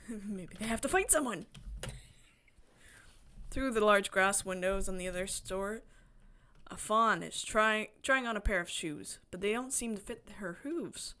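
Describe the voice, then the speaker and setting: A woman game streamer expressively reading a visual novel aloud, breaking into excited, chatty asides. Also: medium pitch, measured pace, pauses often. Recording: music behind the voice. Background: game music.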